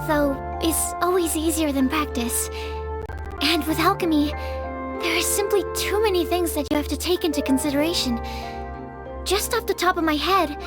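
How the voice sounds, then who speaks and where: Studious young alchemist girl, anime game, really high voice, precise n polite, music behind.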